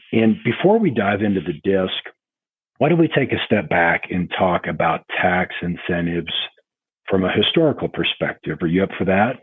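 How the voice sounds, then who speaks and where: A man hosting a podcast interview, asking questions in a halting way with many restarts. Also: low-pitched, husky, dry recording. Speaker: podcast host. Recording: tinny phone-like line.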